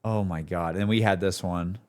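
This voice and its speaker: Low-voiced man, slangy streamer. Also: steady tone, moderate pace, a little mellow, slight background noise. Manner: slangy, exclamatory. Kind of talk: casual reaction commentary on game stream.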